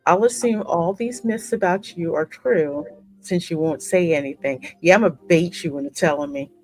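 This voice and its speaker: A woman hosting a gaming channel, reading game dialogue aloud in an expressive, theatrical way with casual reactions. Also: on the low side, moderate pace, low-quality recording. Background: game music.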